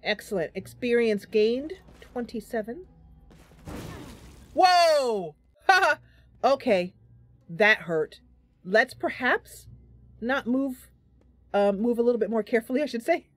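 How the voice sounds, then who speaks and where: A woman hosting a casual game livestream, chatty and animated, talking through her play and reading bits of story aloud. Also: on the high side, slow, pauses often, no echo, soft background music. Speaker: streamer and host playing a fantasy role-playing game. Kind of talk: casual livestream gameplay commentary with narration read aloud.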